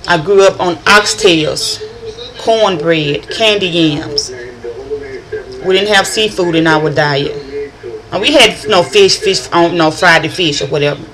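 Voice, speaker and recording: A woman's voice: fairly low pitch, a little slow, crowd noise, low-quality recording. A middle-aged woman from northern Louisiana with a country accent, rambling in a chatty vlog monologue and often restarting her sentences. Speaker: vlogger.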